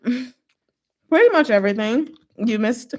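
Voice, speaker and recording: A woman's voice: on the high side, lively intonation, slow pace, a little mellow. A woman hosting a casual livestream, playful and informal, chatting and reading stories aloud. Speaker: host of a livestream.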